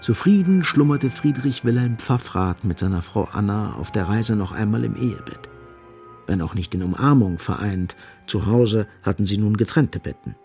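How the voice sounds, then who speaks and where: An actor as the grave, ironic narrator of a literary radio play, deliberate and insistent, with a husky, creaky voice. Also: male voice, lowish voice, animated, darkish tone, faint music behind. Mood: grave, brooding.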